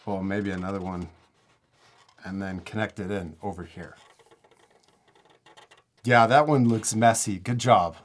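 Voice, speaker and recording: A man's voice: on the low side, a little slow, long pauses, a little hiss or hum. A man hosting a casual step-by-step art tutorial, giving encouraging, simple instructions for children. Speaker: host teaching drawing to children.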